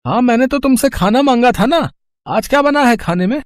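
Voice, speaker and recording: A man's voice: very high-pitched, lively intonation, brisk, no room sound, studio quality, pitched above the performer's own voice. A man voicing a lazy, sheepish young village son with a whining manner in a moral story cartoon.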